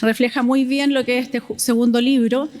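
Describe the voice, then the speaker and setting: Female event presenter, slightly high pitch, introduction with fillers, long hall reverb. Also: little pitch movement, normal speed, darkish tone. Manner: reads with frequent fillers and small restarts. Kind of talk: introducing a speaker at a festival.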